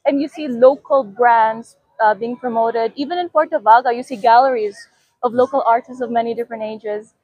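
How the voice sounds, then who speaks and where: High, husky young woman chatting. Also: fairly even intonation, brisk, darkish tone, no room sound, dull audio. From Philippines. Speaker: interview guest. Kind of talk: casual interview answers.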